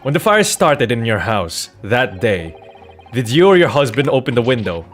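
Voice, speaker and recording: Animated man reading game dialogue dramatically. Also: medium pitch, normal speed, faint music behind. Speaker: video game streamer reading dialogue aloud. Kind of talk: dramatic voiced read-through of a courtroom game.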